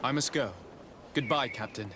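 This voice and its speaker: A man playing a composed young noble diplomat in a video game, speaking in a formal, measured and polite way with quiet resolve. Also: medium pitch, noisy background, close to an everyday voice. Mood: calm, firm. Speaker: diplomat character. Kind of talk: video game cutscene acting.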